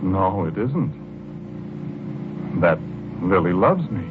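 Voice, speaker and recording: Low-voiced, tormented American confessor, vintage radio. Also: male voice, very animated, heavily acted. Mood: insistent, haunted. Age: 40s. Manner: halting, weary, polite but insistent. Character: tormented 41-year-old college man insisting on his innocence. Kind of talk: 1940s radio drama acting. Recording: old muffled radio recording with organ music.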